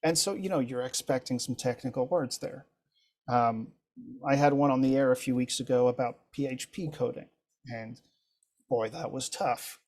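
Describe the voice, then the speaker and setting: A man whose first language is French, leading an instructor training session in a relaxed, encouraging way over a video call. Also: medium pitch, moderate pace. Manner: relaxed, encouraging, thinks aloud with ums. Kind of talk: casual group video-call discussion.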